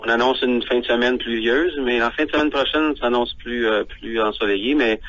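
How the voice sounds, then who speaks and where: Male caller, mid pitch, hesitant with fillers, dull lo-fi line. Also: moderate pace, a bit bright. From Ontario. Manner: hesitant, frequent fillers and restarts. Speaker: guest on phone, teacher. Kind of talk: phone interview.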